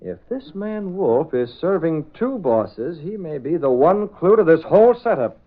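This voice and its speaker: An actor playing a shrewd, thoughtful newspaper publisher and secret vigilante in old-time radio drama style. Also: male voice, fairly high pitch, very animated, unhurried. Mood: deliberating. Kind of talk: 1930s-40s radio drama acting. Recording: muffled, narrow old radio recording.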